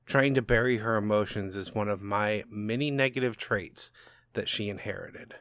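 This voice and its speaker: A man playing a bitter, self-loathing old father in a subdued, halting audiobook narration. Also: fairly low pitch, fairly bright tone, smooth, no room sound, studio quality. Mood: brooding regret.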